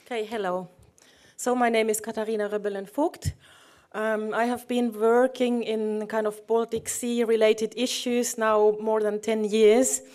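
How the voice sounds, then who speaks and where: A woman from Finland, a non-native English speaker, giving a conference presentation in a halting, hesitant way. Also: on the high side, little pitch movement, a little mellow. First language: not English. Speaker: project manager presenting. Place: conference room.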